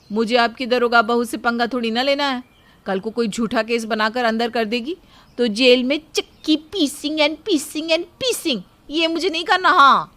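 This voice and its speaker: Woman acting sulky, high-voiced complaining housewife. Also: fairly bright tone, natural voice. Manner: complaining, taunting. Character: sulky, sarcastic elder daughter-in-law, lazy housewife. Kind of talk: cartoon moral story acting.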